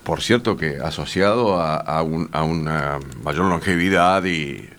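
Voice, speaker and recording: Deep-voiced hesitant Uruguayan man. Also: a little slow, fairly bright tone. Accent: Uruguayan Spanish. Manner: hesitant, many fillers and restarts. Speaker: university teacher. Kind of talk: radio panel discussion.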